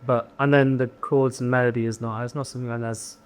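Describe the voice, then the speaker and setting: A man's voice: medium pitch, level tone, fast talker, clear, resonant tone. A young man from Devon, a band singer, talking casually in an interview. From Devon, England. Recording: ambient room noise behind.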